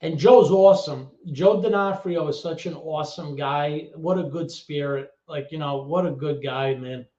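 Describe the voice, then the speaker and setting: A man, telling stories as a guest in a casual interview chat. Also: a little high. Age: 30s. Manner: animated storyteller with quick asides. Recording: echoey lo-fi call audio.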